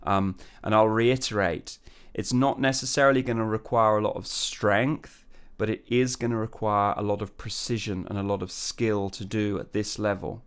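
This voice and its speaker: Low, smooth-voiced male voice coach. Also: moderate pace. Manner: relaxed, thinking aloud with many fillers and restarts. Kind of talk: singing lesson with sung falsetto demonstrations.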